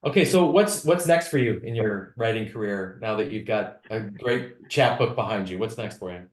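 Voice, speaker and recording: A man's voice: fairly low pitch, moderate pace. A man interviewing a guest conversationally, with rambling questions full of halts and repeated words. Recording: roomy, echoing sound.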